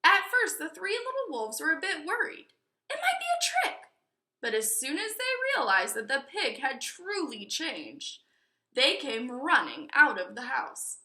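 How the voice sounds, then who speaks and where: A woman reading a children's storybook aloud in an expressive, teacherly way, with explanatory asides. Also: sits high, a little slow. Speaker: storybook reader. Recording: echoey room.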